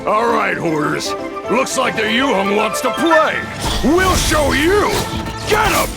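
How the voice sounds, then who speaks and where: A blustering video game bandit boss. The voice is high for a man and gravelly, with big swings in pitch. The delivery is brash and theatrical, with a cowardly streak, over background music. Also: lively intonation, slow pace, heavily acted. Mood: cocky, aggressive. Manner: brash, theatrical, stammering under pressure. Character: blustering male thief gang boss, cowardly when cornered. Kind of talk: exaggerated video game voice acting.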